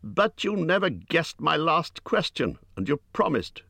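A man's voice: fairly low pitch, wildly swooping pitch, normal speed, fairly bright tone, no echo, voice pushed up, full character voice. A man voicing a small, plucky storybook adventurer who protests insistently in a raised, exclaiming voice. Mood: impatient.

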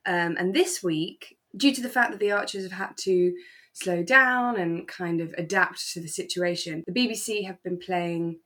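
A British woman with a fairly low voice, chatting casually as a podcast co-host. She talks in a relaxed, conversational way about a favourite show. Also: normal speed. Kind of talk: relaxed casual chat about a radio drama.

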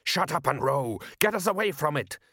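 A man voicing a gruff, hot-tempered middle-aged fisherman father in an audiobook, blustering and snapping in rustic speech. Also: medium pitch, measured pace, fairly bright tone, no room sound, clean studio sound. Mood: urgent, snapping.

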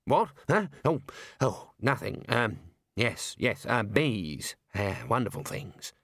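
A man's voice: medium pitch, animated, slow, fairly bright tone, dry recording, clean studio sound, full character voice. A man voicing a fussy, flustered older male wizard who stammers and hesitates.

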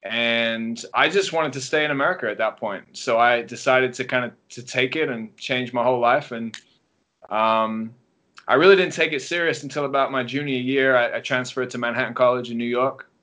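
English coach in his thirties, bright-toned, chatty interview guest, lo-fi sound. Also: mid-range voice, normal speed. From England. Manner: relaxed storytelling with many ums and restarts. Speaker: guest track and field coach. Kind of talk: casual podcast interview.

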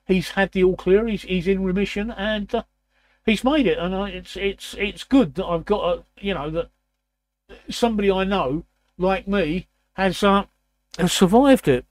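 A man in his sixties with a croaky, raspy voice, hosting a casual chat and often clearing his throat. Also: high voice, moderate pace, no room sound. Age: 60s. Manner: repeats words, frequent throat clearing. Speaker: host.

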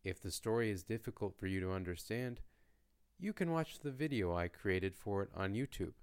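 A man from Canada hosting a beginner language podcast, speaking in a slow, lightly joking teaching voice. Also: on the low side, lively intonation, smooth. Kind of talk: slow, clear lesson narration for learners.

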